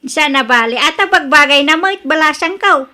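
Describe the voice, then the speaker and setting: Very high, piercing Ilocano mother played by a woman. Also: lively intonation, a little fast, pushed and bright, heavily acted. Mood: defensive. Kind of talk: radio drama acting.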